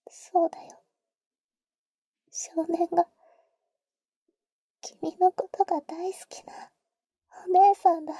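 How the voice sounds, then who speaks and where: A woman acts out a protective big-sister vampire for an ASMR audio drama. Her high voice is breathy and often drops to a whisper, with slow, halting, tsundere phrasing that is blunt yet tender. She is very close to the microphone, with a completely dry sound. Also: little pitch movement, mellow, dry recording, heavily acted. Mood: tender, anxious. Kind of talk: Japanese ASMR roleplay, intimate whispering.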